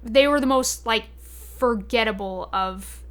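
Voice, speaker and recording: A woman's voice: fairly high pitch, slow pace. A woman hosting a podcast in casual chat, informal and opinionated, thinking aloud as she goes. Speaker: podcast host.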